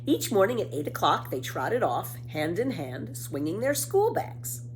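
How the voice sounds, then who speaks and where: A woman narrating a children's book expressively, with deliberate emphasis. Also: lowish voice, sing-song, slight background noise. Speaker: storybook reader.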